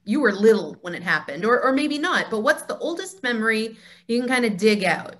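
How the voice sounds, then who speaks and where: A woman's voice: on the high side, very animated, fairly quick, fairly bright tone. Female discussion host, conversational, on a video call. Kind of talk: casual group conversation.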